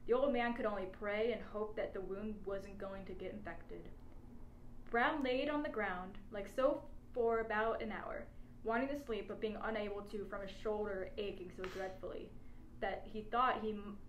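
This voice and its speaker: Woman reading a funny story aloud, normal pitch, lots of room echo, bit of noise. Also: normal speed, dull audio. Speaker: story narrator. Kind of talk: scripted narration of a humorous story. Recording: echoey space with faint background noise.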